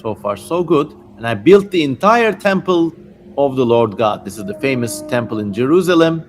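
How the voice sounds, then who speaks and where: A man's voice: mid-range voice, big pitch swings, moderate pace, soft background music. A man hosting a livestream, mixing dramatic reading of an old text with casual, irreverent, slangy asides. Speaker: livestream host. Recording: livestream with clear background noise.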